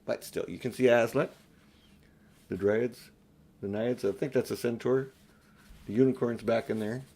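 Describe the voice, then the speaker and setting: An older man reads a storybook aloud in a middle-pitched voice. His delivery is homely and unpolished, an amateur storyteller at ease with the page. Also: fairly even intonation, normal speed, a little mellow, breathy edge. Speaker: audiobook reader. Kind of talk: storybook reading with informal asides.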